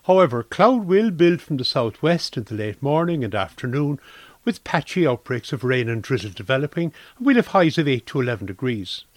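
A man's voice: mid-range voice, big pitch swings, a little fast, no room sound. A man with an Irish accent reading a scripted weather forecast for community radio.

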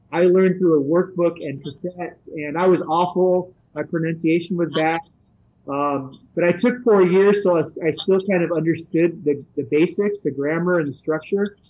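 Rambling high-voiced man, many fillers. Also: darkish tone, dull audio. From San Francisco area. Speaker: Spanish teacher. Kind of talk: casual interview answers.

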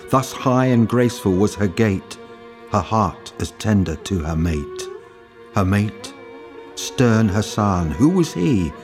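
Slow, low-voiced man reciting poetry. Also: darkish tone. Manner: measured, deliberate recitation. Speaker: reader. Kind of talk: poetry reading.

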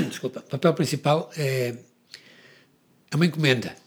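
A man with a European Portuguese accent, telling stories about writing his songs in a lively casual chat, with many restarts. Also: a little high, slightly rough. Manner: animated storytelling, quick with many restarts. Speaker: songwriter guest.

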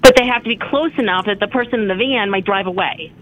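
A woman's voice: mid-range voice, quick pace, phone-quality sound, noisy background. A middle-aged woman calling in to a talk show, chatting casually. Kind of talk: casual conversation.

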